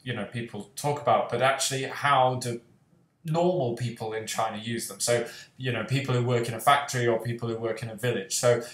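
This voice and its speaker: A man's voice: mid-range voice, steady tone, brisk. A young man hosting an online panel on a video call, speaking earnestly with many fillers. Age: young adult. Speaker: host and author. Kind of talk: panel discussion. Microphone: headset on video call. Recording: roomy echo.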